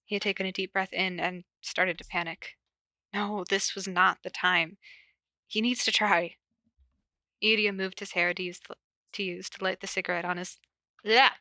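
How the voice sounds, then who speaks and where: A woman's voice: medium pitch, fairly even intonation, moderate pace, long pauses, sharp tone, no room sound. A woman hosting a podcast, casually reading stories aloud and chatting in an informal, giggly, self-interrupting way. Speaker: podcast host reading fan fiction aloud. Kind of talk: casual cold reading of stories and chat with co-host.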